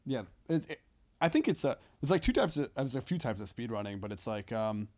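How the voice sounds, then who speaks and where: A man in casual conversation as a podcast guest. He talks in slangy, halting phrases and meanders through his explanations. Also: mid-range voice, big pitch swings, a little mellow. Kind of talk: casual chat.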